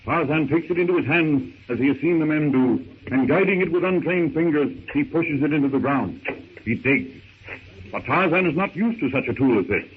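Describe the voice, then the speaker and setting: A man's voice: on the high side, lively intonation, moderate pace, husky, phone-quality sound. A man narrating a 1930s radio adventure serial in a theatrical, suspenseful, declamatory manner. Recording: thin, noisy 1930s radio recording.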